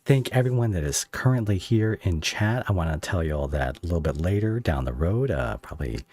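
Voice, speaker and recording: A man hosting a casual live interview, speaking in a halting, rambling way as he searches for phrasing. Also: sits low, expressive, darkish tone, no room sound. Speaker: podcast host interviewing a guest.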